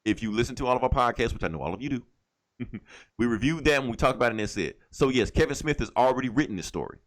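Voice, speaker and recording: Male podcast co-host, rapid-fire and highly expressive, opinionated, foul-mouthed, casual comic-fan chat. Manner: profane, emphatic, opinionated.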